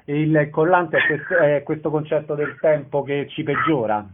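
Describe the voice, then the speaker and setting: Man, radio host, slightly high voice, tinny phone-like sound, faint hiss. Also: steady tone, moderate pace. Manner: announcing show dates, brief follow-up questions. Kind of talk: radio interview.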